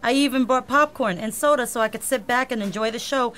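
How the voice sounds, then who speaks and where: A woman's voice: on the high side, fairly quick, fairly bright tone, lightly acted. A woman playing a feisty, short-tempered skeptic in a comedic sci-fi radio drama, snappy and sarcastic.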